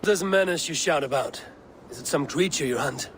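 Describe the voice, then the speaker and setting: An actor playing a stoic, shrewd young Viking raider in a video game, speaking in measured, terse, formal phrases. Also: male voice, fairly high pitch, a bit bright, natural voice. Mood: guarded, measured. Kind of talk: cinematic video game acting. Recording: faint background noise.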